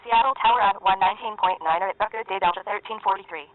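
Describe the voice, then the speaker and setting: A woman voicing a female airline pilot who reads back clearances in brisk, clipped radio phrasing. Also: medium pitch, close to an everyday voice. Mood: routine. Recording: narrow radio band.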